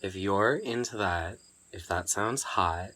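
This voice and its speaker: A man's voice: fairly low pitch, slow pace, sharp tone, a little hiss or hum. A man hosting a podcast and reading fan fiction aloud in a scripted narration style. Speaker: podcast host and story reader.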